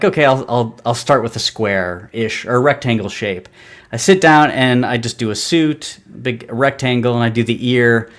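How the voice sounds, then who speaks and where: Rambling man telling a story, full of "like". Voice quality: describes his own voice as high. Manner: rambling, filler-heavy storytelling with frequent "like". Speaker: comic writer and artist, guest. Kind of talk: casual live-stream interview.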